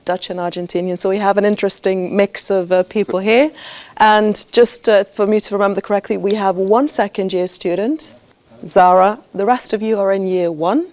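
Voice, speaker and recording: A woman's voice: medium pitch, fairly even intonation, moderate pace, darkish tone. A woman coach hosting a round-table talk with students, asking questions with many small fillers. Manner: friendly, prompting questions with fillers. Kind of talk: moderating a round-table discussion.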